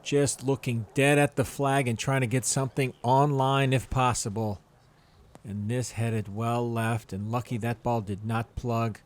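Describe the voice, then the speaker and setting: A man's voice: mid-range voice, steady tone, unhurried. A man giving color commentary on a golf broadcast, candid about each shot. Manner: candid, gives frank praise and criticism of shots. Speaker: golf analyst.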